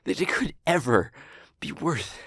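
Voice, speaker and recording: A man playing an anguished, tender demon in an intimate audio roleplay, with a breathy, husky voice that often drops toward a whisper and a halting, weighted delivery. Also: medium pitch, no room sound. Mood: anguished, intense. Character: anguished demon pleading with a beloved human, tender.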